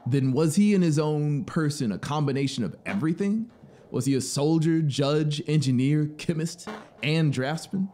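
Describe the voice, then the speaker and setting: A man's voice: fairly high pitch, animated, normal speed, mellow, voice pushed up, lightly acted. A man voicing an inquisitive, disbelieving male traveler in a storybook reading, questioning and incredulous. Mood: curious, surprised.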